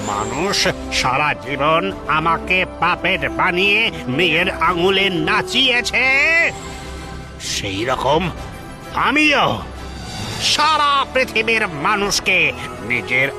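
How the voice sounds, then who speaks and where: A man's voice: sits high, sing-song, slow, long pauses, heavily acted. A man voicing a vengeful puppet king in a cartoon, gloating and menacing in a theatrical way. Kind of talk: cartoon villain acting. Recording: music behind the voice.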